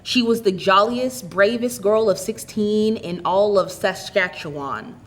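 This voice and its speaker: A woman reading a short story aloud as an expressive, scripted narrator. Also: medium pitch, a little slow. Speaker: story reader.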